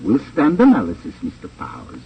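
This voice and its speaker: An actor playing a smug, suavely menacing crime boss with a pompous, precise delivery in a 1950s radio drama. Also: male voice, on the low side, huge pitch swings, brisk, crowd noise. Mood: smooth, persuasive. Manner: pompous, over-precise, relishing his words. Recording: dull, noisy old radio transcription.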